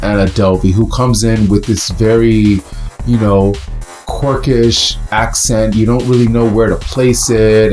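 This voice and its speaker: A man's voice: lowish voice, darkish tone. A man from the United States giving casual, rambling commentary with many fillers and restarts. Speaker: host.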